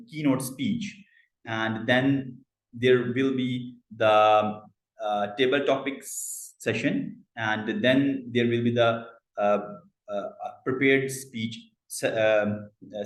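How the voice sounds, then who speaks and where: A man's voice: medium pitch, reverberant, rough recording. A man from Pakistan hosting an online club meeting, speaking with a stammer and frequent fillers over a video call. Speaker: meeting host.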